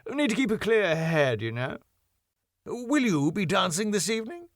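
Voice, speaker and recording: A man voicing a smooth, middle-aged male club boss who chuckles at his own jokes. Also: high voice, very animated, moderate pace, no room sound, studio quality, voice pushed up. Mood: smarmy. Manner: oily, laughs at own jokes.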